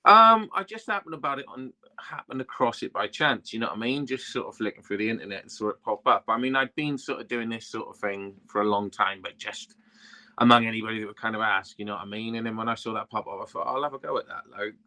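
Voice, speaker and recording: A man's voice: lowish voice, fast talker, pushed and bright. A young Welsh man, a tarot reader, chatting in a rambling, laid-back way, full of "you know what I mean". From Wales. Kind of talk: casual interview chat.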